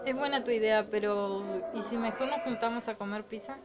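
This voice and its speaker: Woman playing Argentine schoolgirl, fairly high voice, bossy, playful, radio drama, noisy recording. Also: steady tone, fairly quick, a crowd in the background, close to an everyday voice. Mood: persuasive. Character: bossy, playful twenty-one-year-old schoolgirl friend. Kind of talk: amateur radio play dialogue. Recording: noisy amateur recording with clicks.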